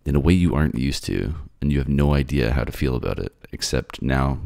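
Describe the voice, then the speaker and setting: Extremely deep, gravelly male narrator. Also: lively intonation, brisk, darkish tone, no echo, studio quality. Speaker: narrator and voice actor. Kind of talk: scripted second-person dramatic narration.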